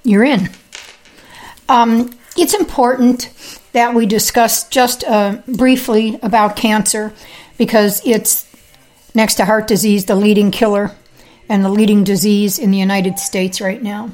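A woman hosting her own podcast, talking casually with fillers and throat clearing, telling her own experience. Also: mid-range voice, fairly even intonation, unhurried, a little mellow, faint music behind. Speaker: podcast host; health practitioner with patients. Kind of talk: casual monologue.

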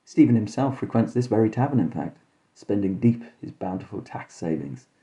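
Very dark-voiced man telling deadpan tales. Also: fairly low pitch, moderate pace. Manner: deadpan, mock-dramatic. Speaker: podcast host and storyteller. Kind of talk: scripted comic horror narration in mock-detective first person.

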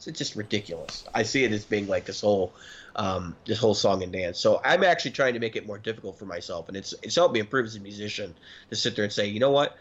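Low-voiced young man, muffled podcast audio. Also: fast talker, breathy edge. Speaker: podcast co-host. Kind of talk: casual chat about gear and recording.

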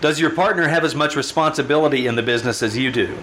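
An actor playing a firm, probing doctor in an old-time radio drama, with a measured, authoritative manner. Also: male voice, mid-range voice, fairly bright tone, a little hiss or hum, lightly acted. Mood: clinical, probing. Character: probing, firm male doctor questioning a patient.

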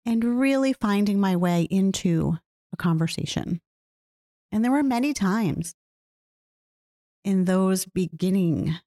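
A woman talking earnestly and reflectively about her own experiences, often putting questions to the listener. She describes her own voice as low. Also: warm tone, dry recording, clean studio sound. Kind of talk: reflective personal talk.

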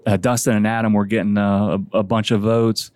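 A man's voice: fairly low pitch, flat delivery, fairly quick, darkish tone, clear, resonant tone, dry recording, clean studio sound. A man, a homebrewer guest chatting casually on a podcast, rambling and self-deprecating with many restarts.

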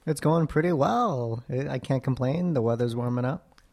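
Gravelly young man, hesitant. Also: mid-range voice, moderate pace, darkish tone. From Florida, USA. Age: 20s. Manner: thoughtful, hesitant, many ums. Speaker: interview guest. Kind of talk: casual interview.

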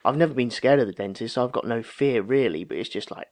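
A man's voice: medium pitch, quick pace, darkish tone. A man in his thirties chatting casually and telling anecdotes, acting out the remarks he quotes. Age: 30s. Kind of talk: casual chat, storytelling.

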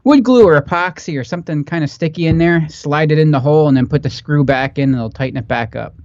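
A man's voice: on the high side, fast, a little mellow, no echo, highs cut off. A man with a nasal voice, bantering casually as a podcast co-host.

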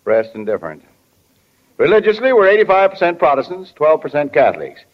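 An actor playing a deadpan, matter-of-fact small-town newspaper editor in a 1940s radio drama. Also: male voice, on the high side, fairly quick. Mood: dry. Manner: deadpan, reciting facts. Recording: muffled 1940 radio broadcast.

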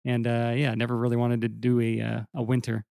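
A slightly hoarse man with a gravelly mid-range voice chats easily on a podcast. He rambles in a laid-back way with many fillers. The recording is dry and close. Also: medium pitch, monotone, fast talker, warm tone, no room sound. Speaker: podcast co-host and musician. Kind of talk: casual chat.

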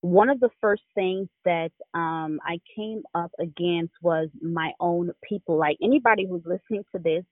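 Jamaican lady telling her story, lively, sounds like a phone call, dry. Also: lowish voice, no echo. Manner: animated storyteller, quick laughs. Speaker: guest. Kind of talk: casual interview. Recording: tinny phone line.

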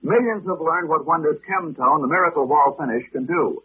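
A man's voice: a little high, a little fast, husky. An American man announcing in a polished, emphatic 1940s radio advertising style. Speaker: commercial announcer. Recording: scratchy, tinny 1940s radio recording.

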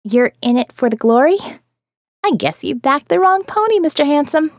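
Female survivor and diarist played by a woman: slightly high, animated, smart, wary, wry, first-person audio drama. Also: normal speed, no echo, clean studio sound. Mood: challenging. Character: smart, wary, stubborn female survivor narrating her diary. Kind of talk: first-person audio fiction narration and dialogue.

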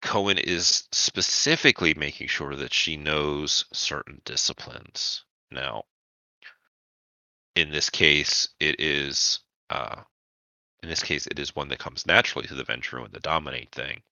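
A man narrating a tabletop roleplaying game as game master, in measured, deliberate phrases with pauses. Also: very deep voice, creaky, sharp tone, dry recording, low-quality recording.

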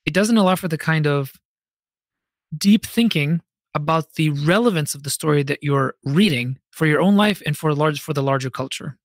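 Male podcast host, slightly high voice, expressive storyteller delivery, direct address, very dry close recording. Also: normal speed, no room sound. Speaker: podcast host and fantasy author. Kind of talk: scripted podcast monologue with conversational asides.

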